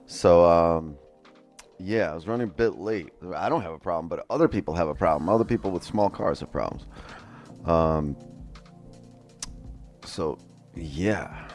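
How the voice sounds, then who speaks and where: A man's voice: rumbling low, normal speed, long pauses, a little mellow. A man casually talking through trades on a live stream, chatting loosely with viewers and swearing now and then, on a headset mic. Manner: loose, profane, chatty, talks to chat. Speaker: day trading streamer. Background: faint music.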